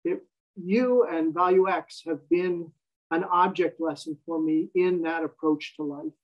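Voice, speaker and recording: A man's voice: a little high, fairly even intonation, a little slow, highs cut off. Wry American man in his fifties. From United States. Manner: wry, self-deprecating storyteller. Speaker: guest. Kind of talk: casual interview conversation.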